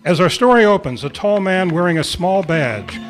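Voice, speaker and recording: A man announces and narrates an amateur radio play in old-time style. His voice is fairly high for a man, with some music in the background. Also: animated, normal speed. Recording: live club performance with music behind.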